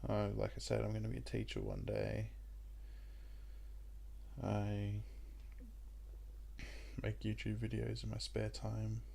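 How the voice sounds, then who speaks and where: A young Australian man with a croaky voice, chatting casually and rambling with lots of ums while he plays a puzzle game. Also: on the low side, slow pace, pauses often, slight background noise. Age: young adult. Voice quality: croaky, gravelly voice. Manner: rambling and relaxed, with many ums. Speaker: gaming YouTuber. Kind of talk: casual let's-play commentary.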